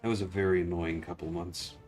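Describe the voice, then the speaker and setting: A man's voice: low voice, little pitch movement, fairly quick, a little mellow, soft background music. A man livestreaming a game, giving casual commentary and explaining things in detail, often checking in with "right?". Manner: explanatory, tags points with "right?".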